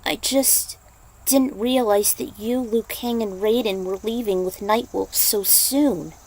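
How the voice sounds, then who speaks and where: A woman's voice: mid-range voice, takes it slowly, a little mellow, poor audio. A woman voicing a fretful, easily startled young woman in an amateur story reading. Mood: anxious, downcast.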